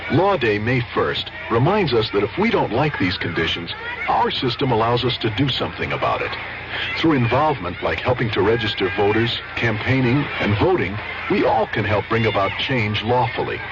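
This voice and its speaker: A man reading a scripted public service announcement in a solemn, measured way. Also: on the low side, very animated. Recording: muffled, very noisy old radio spot.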